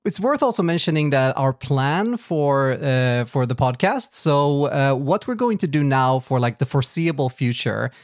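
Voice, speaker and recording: A man's voice: medium pitch, sing-song, moderate pace, few pauses, no room sound, studio quality. A man whose first language is Swedish, co-hosting a tech podcast in casual chat, rambling with lots of fillers and restarts. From Sweden. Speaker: podcast co-host.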